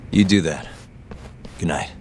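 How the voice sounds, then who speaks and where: An actor voicing a weary, sardonic detective in a video game, with a dry, understated delivery. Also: male voice, low voice, unhurried, noisy background. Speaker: detective, main character. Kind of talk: video game dub acting. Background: music.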